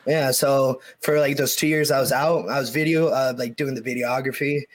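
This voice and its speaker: A man talks as a guest in a casual chat. He tells his story loosely, with fillers and restarts. His voice has an ordinary middle pitch. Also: fairly even intonation, normal speed. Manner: easygoing storytelling with many fillers.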